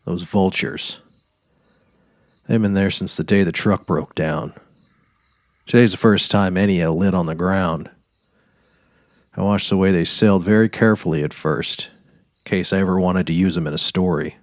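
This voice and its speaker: Low-voiced, bitter dying writer played by a man. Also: normal speed, pauses often, big-room sound. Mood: sardonic, resigned. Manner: sardonic, resigned, quarrelsome. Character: bitter, weary dying male writer on safari. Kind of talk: dramatic reading of literary fiction.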